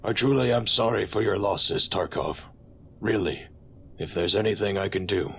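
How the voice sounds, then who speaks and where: Sci-fi admiral, played by a man: deep bass, measured authority, cool and dismissive, clear background noise. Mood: solemn. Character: evasive, authoritative male admiral, coolly paternal. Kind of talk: sci-fi audio drama acting.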